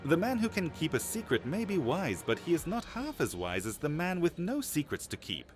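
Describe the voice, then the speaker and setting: A man's voice: on the high side, wildly swooping pitch, normal speed, over music. A man playing an eager older alchemist in an audio drama, theatrical, florid and ingratiating. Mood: sly, cautious. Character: eager older alchemist begging to become a vampire. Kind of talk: audio drama roleplay acting.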